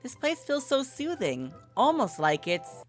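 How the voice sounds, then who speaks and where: A woman's voice: high voice, sing-song, unhurried, soft background music, voice pushed up. A woman voicing a polite, hesitant young woman newcomer, the heroine of a visual novel. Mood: awed. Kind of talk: visual novel character read-aloud.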